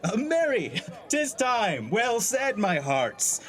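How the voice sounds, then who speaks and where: An actor playing an older, domineering, hot-tempered uncle in Shakespeare, imperious and blustering as master of the house. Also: male voice, high-pitched, wildly swooping pitch, slow pace, a bit bright, soft background music, some chatter behind, exaggerated voice. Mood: jovial hosting. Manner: blustering, imperious, swinging from scolding to jovial. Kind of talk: classical Shakespearean stage acting.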